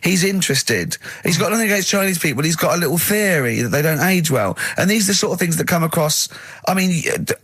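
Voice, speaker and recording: A male radio host with a fairly high voice teases and scolds his co-host, often laughing as he goes. The studio is dry and close-miked. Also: animated, quick pace, few pauses, a bit bright, husky, no room sound. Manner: laughing, exasperated and teasing. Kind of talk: comic radio banter. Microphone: studio microphone.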